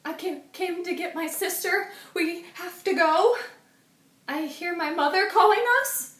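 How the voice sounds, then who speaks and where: A woman's voice: very high-pitched, a little slow, a bit bright, pitched above the performer's own voice. Young boy, jittery but trying to be brave; woman reader, roomy distant mic. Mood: nervous.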